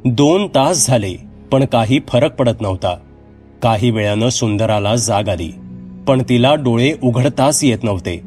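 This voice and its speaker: A man's voice: medium pitch, expressive, a little slow, a little mellow. A man narrating a scripted horror story as a storyteller, with music behind him. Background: background music.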